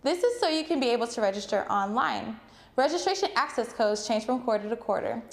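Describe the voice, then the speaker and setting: A woman with a fairly high voice delivers scripted informational narration. She speaks as an advising presenter, addressing students directly and explaining things step by step. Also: lively intonation, a little fast.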